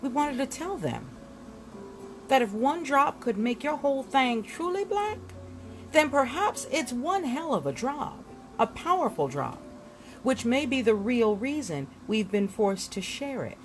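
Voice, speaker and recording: A woman reading a nonfiction book aloud in a dramatic, deliberate way, leaning on words and leaving emphatic pauses. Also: sits high, very animated, slight background noise. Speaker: book reader.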